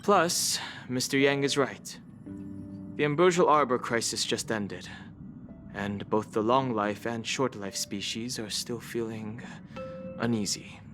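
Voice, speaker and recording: Male actor as a reserved, reassuring young man; mid-pitched. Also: unhurried, music in the background, close to an everyday voice. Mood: calm. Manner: calm, reassuring. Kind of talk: game voice acting.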